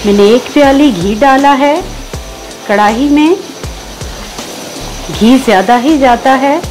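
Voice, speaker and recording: Slow, high-voiced Pakistani cooking host. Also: female voice, poor audio. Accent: Pakistani Urdu. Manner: patient instructive, enthusiastic about the dish. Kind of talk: step-by-step recipe voice-over. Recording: voice-over with faint background music.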